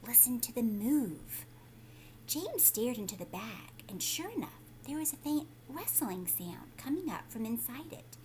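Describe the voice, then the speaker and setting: A woman's voice: high voice, sing-song, moderate pace, hardly any pauses, darkish tone, a little hiss or hum, poor audio. A woman teacher reading a children's story aloud, speaking expressively and emphatically, with explanatory asides for young listeners.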